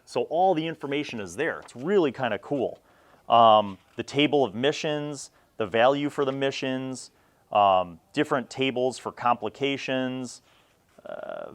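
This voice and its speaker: A middle-aged man hosting a casual review, talking in an enthusiastic, emphatic and conversational way. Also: mid-range voice. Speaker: host of a tabletop gaming review series.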